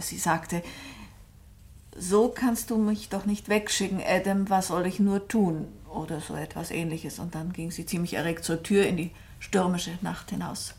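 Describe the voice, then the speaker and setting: Novelist in a radio play: lowish pitch, composed, precise, matter-of-fact, considerate. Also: female voice, gravelly. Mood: thoughtful recollection. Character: composed, observant female novelist, cool and considerate. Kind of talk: German radio play dialogue.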